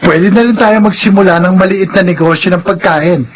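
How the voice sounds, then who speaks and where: An actor playing an eager, enterprising young college student in a radio drama, keen and friendly. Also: male voice, high-pitched, a little fast, a little hiss or hum, natural voice.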